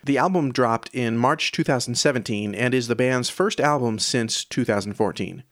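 A man's voice: mid-range voice, normal speed. A man hosting an audio newsletter, reading scripted music news in a presenter's style. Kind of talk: scripted narration. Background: background music.

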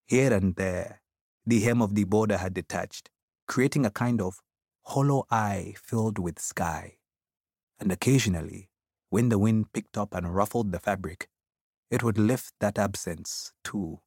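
A man's voice: on the low side, a little slow, darkish tone, no echo, clean studio sound. A man narrating an audiobook as a reflective immigrant, with an African accent. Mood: wistful, reflective.

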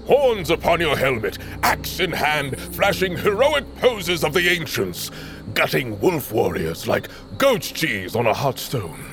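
A man's voice: fairly high pitch, big pitch swings, a little slow, music in the background. An actor playing a stern, contemptuous middle-aged lord and domineering father in a fantasy audio drama, speaking in a formal, commanding manner. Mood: cold, scornful.

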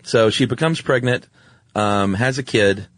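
A man's voice: mid-range voice, a little slow, a bit bright, no echo. A man co-hosting a podcast, retelling stories in casual chat with a droll, offhand manner. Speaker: podcast co-host.

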